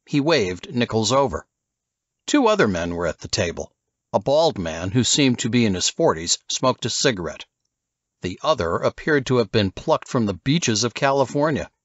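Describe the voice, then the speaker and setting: A man's voice: mid-range voice, sing-song, moderate pace, dry recording, muffled recording. A man reading scripted fiction as an audiobook narrator.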